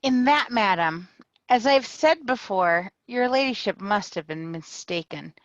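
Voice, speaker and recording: A woman's voice: mid-range voice, expressive, measured pace, dry recording. A woman reading a tipsy, lovesick young woman who contradicts insistently, heard over a dull, dry video-call line.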